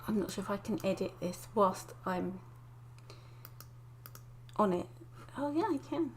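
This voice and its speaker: A woman from England, chatting casually to viewers on a live stream from her desk, croaky and sniffly with a cold, often laughing. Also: medium pitch, lively intonation, unhurried, slight background noise. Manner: chatty, giggly, greets viewers by name. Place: home desk indoors.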